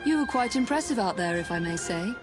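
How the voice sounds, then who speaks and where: A young adventuress, played by an actress in a game cutscene. She is brisk, plainspoken and self-assured at a medium pitch, heard over loud music. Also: animated, lightly acted. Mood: friendly, assured. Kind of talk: video game cutscene acting.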